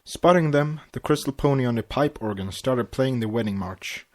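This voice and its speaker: A man's voice: mid-range voice, moderate pace, darkish tone. A man reads a story aloud as a podcast narrator, in the style of storybook narration. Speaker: podcast host reading fan fiction aloud. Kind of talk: storybook narration with acted dialogue.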